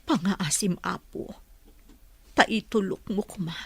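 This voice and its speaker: A woman's voice: mid-range voice, slow pace, creaky, fairly bright tone, husky, no room sound, full character voice. A woman playing a lonely, prayerful mother in a radio drama, half-whispering as she misses her children. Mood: wistful, longing.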